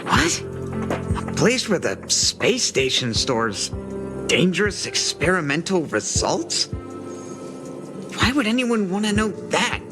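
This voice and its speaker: Shifty, high-voiced space station worker. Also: male voice, very animated, slow pace, long pauses, full character voice. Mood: defensive, suspicious. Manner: suspicious, protesting, grumbling. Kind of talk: scripted game dialogue. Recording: music playing behind the voice.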